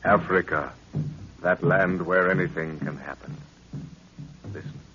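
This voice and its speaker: A man's voice: low-pitched, steady tone, unhurried, background noise. A man narrating a radio adventure serial in the solemn, portentous style of a 1930s announcer. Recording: dull lo-fi 1930s radio recording.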